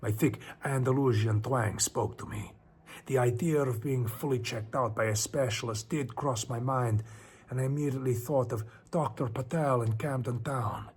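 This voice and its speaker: A man voicing an anxious, brooding Spanish narrator of a horror story, theatrical and often dropping to hushed tones, with a Spanish accent. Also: mid-range voice, normal speed, breathy edge. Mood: brooding, uneasy. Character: anxious, self-questioning Spanish man narrating his descent into madness.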